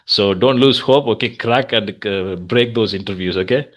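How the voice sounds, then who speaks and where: A man's voice: lowish voice, moderate pace. A man with an Indian accent chatting casually as an English practice coach, giving feedback and advice in an encouraging way.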